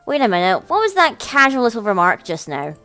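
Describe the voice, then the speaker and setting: A woman's voice: on the high side, big pitch swings, a little fast, bright, forceful tone, soft background music. A woman voicing a weary, stubborn young man, a put-upon older brother with a dry, protesting manner. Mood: suspicious.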